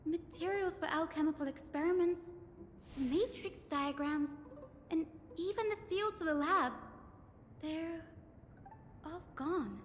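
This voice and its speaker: A woman voicing an earnest, expressive young female student in a video game. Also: very high-pitched, slow, long pauses, a little hiss or hum. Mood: worried, realizing. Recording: reverberant, loud game music behind. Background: game music.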